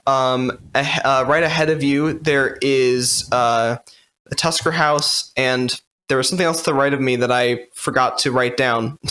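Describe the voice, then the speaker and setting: Casual man explaining in a halting way. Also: mid-range voice, steady tone, pushed and bright, clear, resonant tone. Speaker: podcast co-host. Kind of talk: casual conversational walkthrough.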